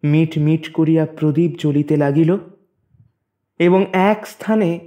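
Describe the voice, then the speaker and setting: A man narrating a literary story in a measured, expressive, formal reading. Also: on the high side.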